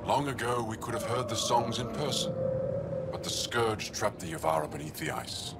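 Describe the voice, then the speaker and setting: A man's voice: medium pitch, lively intonation, moderate pace, over loud music, close to an everyday voice. An actor playing a devoted alien scientist who explains his research earnestly. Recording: loud background noise.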